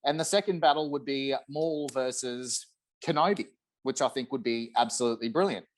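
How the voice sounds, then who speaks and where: A man's voice: medium pitch, moderate pace. A man in his thirties chatting casually and emphatically about a video game on a video call, with lots of enthusiasm. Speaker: podcast guest. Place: room at home, on a video call.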